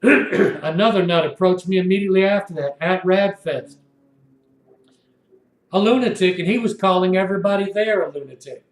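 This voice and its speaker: A man's voice: high-pitched, moderate pace, roomy sound, low-quality recording. A man in his sixties telling a long, indignant story in a rambling, repetitive monologue. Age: 60s. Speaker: video blogger. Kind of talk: rambling first-person monologue.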